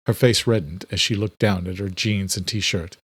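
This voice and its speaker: A man's voice: low-pitched, fairly even intonation, moderate pace, a bit bright, slightly rough, no room sound. Male horror audiobook narrator, dry sound. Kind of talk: dramatic scripted horror narration.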